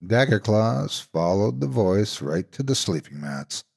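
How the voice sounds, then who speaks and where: A man narrating a horror folktale in an expressive, suspenseful, scripted storytelling style. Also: on the low side, a little slow, creaky, breathy edge.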